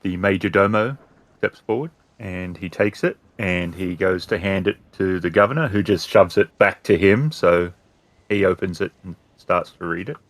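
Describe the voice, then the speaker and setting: Low-voiced male storyteller, dry close sound. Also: normal speed, no echo. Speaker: game master, storyteller. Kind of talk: narrating a tabletop roleplaying game.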